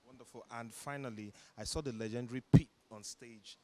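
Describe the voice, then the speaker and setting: A man's voice: medium pitch, fairly even intonation, fairly quick. A man asking conversational questions as an interviewer. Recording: faint background ambience noise.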